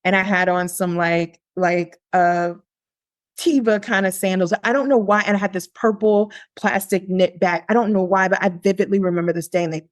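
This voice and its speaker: Woman guest telling a story, lively, lots of "like"s, mid pitch, dry close mic. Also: moderate pace, no echo. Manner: animated, lively quoting of her younger self; frequent "like" fillers and restarts. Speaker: podcast guest. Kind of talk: conversational storytelling.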